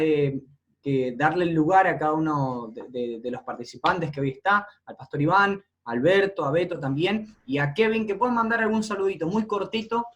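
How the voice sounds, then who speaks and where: A young Argentine man hosts, his voice a little high. He speaks to listeners with occasional hesitant fillers. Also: fairly bright tone. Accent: Argentine Spanish. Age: young adult. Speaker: host. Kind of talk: hosting a church lesson program.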